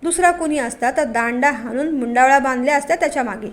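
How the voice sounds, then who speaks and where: A servile police inspector flatters loudly, as a woman narrator puts him on. The voice is pitched high. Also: quick pace, natural voice. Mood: fawning. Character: fawning male police inspector.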